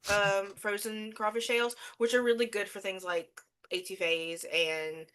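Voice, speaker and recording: A woman from Louisiana with a Louisiana accent, chatting casually as co-host, animated and laughing often, with plenty of swearing. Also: mid-range voice, unhurried, clean tone. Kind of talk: casual chat.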